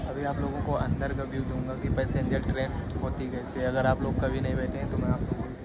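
A man's voice: medium pitch, monotone, quick pace. A young man from India chatting casually to his viewers while filming a travel vlog on a passenger train on his phone. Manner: chatty, addresses viewers as brothers. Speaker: vlogger. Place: on a moving passenger train and at stations. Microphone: handheld phone. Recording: loud train and traffic noise.

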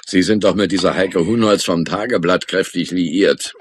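An actor playing a smug, suspicious homicide detective in a German radio crime drama, taunting and insinuating. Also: male voice, low-pitched, husky. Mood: smug needling. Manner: taunting, insinuating, drawn-out emphasis.

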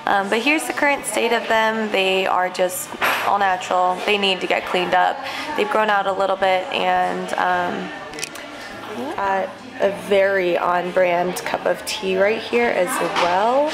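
A woman's voice: mid-range voice, fairly even intonation, measured pace. A woman in her twenties chatting informally as a vlogger, casually narrating and peppering her sentences with "like". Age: 20s. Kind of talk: casual vlog chat and narration.

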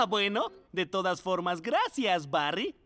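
Animated, encouraging mole schoolteacher. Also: mid-range voice, slow pace, in a large hall, heavily acted. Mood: cheerful. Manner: encouraging, expressive. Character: kindly adult mole schoolteacher, warm and encouraging. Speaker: teacher. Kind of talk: children's cartoon dub acting. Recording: music behind the voice.

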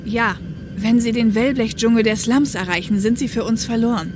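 A woman's voice: on the high side, fairly even intonation, normal speed, background noise. A woman playing a tense police helicopter copilot in a German audio drama, with echo on the voice. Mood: focused. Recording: echoey sound with music behind.

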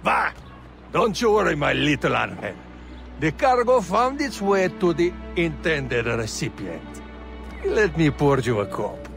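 An actor playing a boastful, flirtatious middle-aged sea captain with a Spanish accent in a video game. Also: male voice, on the high side, very animated, measured pace, creaky, exaggerated voice. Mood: boastful flirting. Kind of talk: video game cutscene acting. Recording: music behind the voice.

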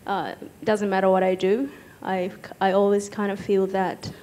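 A young woman from Egypt speaking hesitantly as a panellist on stage, pausing often, into a handheld microphone. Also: medium pitch, flat delivery, warm tone. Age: young adult. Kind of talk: personal account in a panel discussion. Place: stage in an auditorium with audience.

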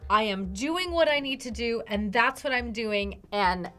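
A woman's voice: fairly high pitch, unhurried, pushed and bright. A middle-aged woman reading tarot in a conversational way, reassuring her listener and stressing her points. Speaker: tarot card reader. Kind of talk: conversational fortune-telling.

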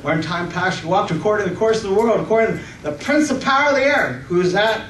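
Man, middle-aged preacher, high-pitched voice, halting nervous sermon, distant mic in a church hall. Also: expressive, fairly bright tone. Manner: halting, nervous delivery with frequent self-corrections and amens. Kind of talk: sermon with scripture reading. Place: church hall stage, indoors. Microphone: stand microphone, picked up by far camera. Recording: roomy, distant microphone with faint noise.

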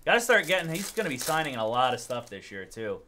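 A man's voice: medium pitch, big pitch swings, fast talker, bright, forceful tone, slight background noise. A young man hosting a live card break, calling out pulls with upbeat energy and joking banter. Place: indoor card break studio.

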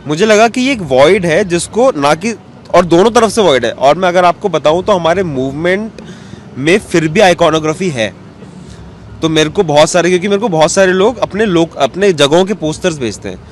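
A man's voice: a little high, animated, normal speed. A man in his thirties, a cartoonist guest, answering interview questions at length and mixing in English terms, recorded outdoors on a handheld mic. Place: outdoors in an open courtyard. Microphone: handheld mic held out by interviewer. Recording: faint outdoor street noise.

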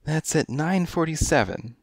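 A man doing a coy, breathy lady cat. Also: on the high side, expressive, unhurried, no echo, clean studio sound, pitched above the performer's own voice. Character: flirtatious dainty female cat client, dramatic.

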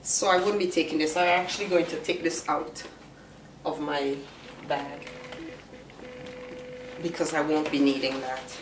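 A middle-aged woman with a slightly raspy voice from a recent virus, chatting casually as she shows what she carries to work. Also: low voice, steady tone. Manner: chatty, unhurried, explains each item. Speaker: vlogger. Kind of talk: casual show-and-tell vlog. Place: small room indoors. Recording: roomy, distant camera sound with faint hiss.

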